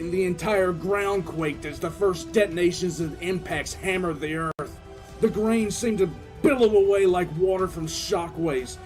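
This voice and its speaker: An actor playing a battle-hardened military commissar who recounts combat with grim relish in an intense, theatrical audio drama narration. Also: male voice, high-pitched, darkish tone, close to an everyday voice. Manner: intense, theatrical, drawn-out emphatic delivery.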